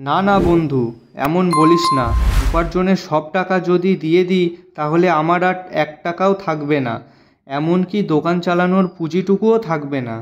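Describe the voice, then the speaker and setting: A man voicing an honest, gentle shopkeeper who pleads with his friend in a moral-story cartoon. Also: a little high, steady tone, moderate pace, lo-fi sound, a little hiss or hum, lightly acted. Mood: pleading. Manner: earnest, pleading, lamenting.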